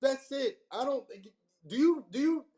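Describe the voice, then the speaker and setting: A man's voice: very high-pitched, expressive, unhurried, dark tone. A man guesting on a sports stream, mocking a player with a string of rhetorical questions. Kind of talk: comedic roast.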